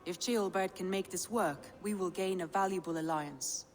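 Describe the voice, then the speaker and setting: An actress playing a shrewd, composed Viking clan advisor in a video game, measured and businesslike. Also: female voice, lowish voice, music in the background, lightly acted. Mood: composed, advising. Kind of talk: dramatic video game acting.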